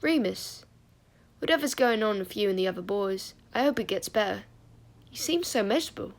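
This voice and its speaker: A woman acts out a friendly teenage schoolgirl in a storybook. She speaks with gentle concern, coaxing and soft-hearted, in a natural female pitch. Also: lively intonation, normal speed, natural voice. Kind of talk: acted audiobook dialogue.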